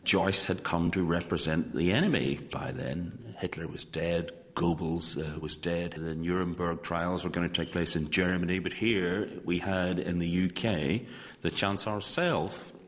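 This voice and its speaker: A man's voice: sits low, measured pace. A man speaking as an expert interviewee, explaining history in unscripted but orderly talk. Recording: reverberant room.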